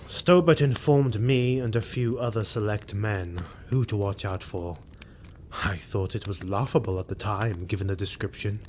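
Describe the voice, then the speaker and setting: An actor playing a grim, vengeful Imperial officer in a fantasy audio drama, speaking solemnly and formally with deliberate emphasis. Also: male voice, mid-range voice, a little hiss or hum, close to an everyday voice. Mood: grave, wary. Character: grim, vengeful male Imperial army officer recounting war.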